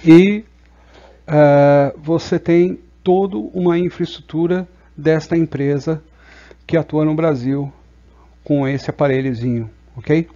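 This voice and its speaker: A man's voice: on the high side, slow, dark tone, muffled recording. A Brazilian man presenting a casual product review in an explanatory way, often checking in with short tag questions.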